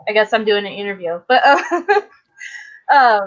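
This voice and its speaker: A woman's voice: mid-range voice, big pitch swings, moderate pace, a bit bright. A woman interviewing casually and a little tentatively, heard over dull lo-fi audio.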